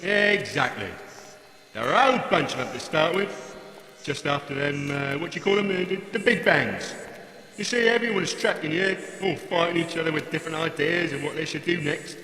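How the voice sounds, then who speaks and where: Guy doing a grumbly cheeky old brit robot, gravelly, sarcastic, big echoey room with crowd noise, audio comedy. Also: a little high, a little fast, bright, forceful tone. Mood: matter-of-fact. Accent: British. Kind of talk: comic audio drama acting.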